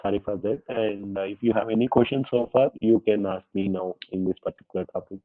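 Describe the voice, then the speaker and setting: A man's voice: lowish voice, fairly even intonation, fairly quick. A man with an Indian English accent giving an online workshop lecture, a little under the weather with a cold, hesitant with many fillers and politely calling his listeners sir. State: voice affected by fever and cold. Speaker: presenter at an online faculty workshop. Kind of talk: lecture presentation with Q&A. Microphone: online meeting audio. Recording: tinny phone-like online call audio.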